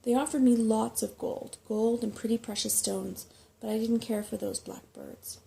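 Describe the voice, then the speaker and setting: A woman voicing a self-important, grasping little male rat mapmaker in a soft-spoken storybook reading, with a fussy, portentous manner. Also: fairly high pitch, fairly even intonation, moderate pace, creaky. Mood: conspiratorial, offended. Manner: portentous, fussy, easily affronted.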